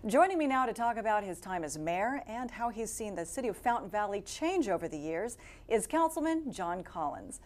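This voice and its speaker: A woman's voice: medium pitch, sing-song, moderate pace. A woman hosting a local TV interview show, who introduces her guests and asks questions in a polished, friendly way. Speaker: host.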